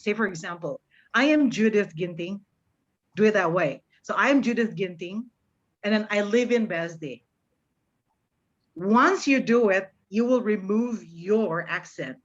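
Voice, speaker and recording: Middle-aged woman teacher, dull lo-fi audio. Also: mid-range voice. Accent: American-influenced. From Indonesia. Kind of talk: informal advice to learners. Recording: dull, lo-fi online meeting audio.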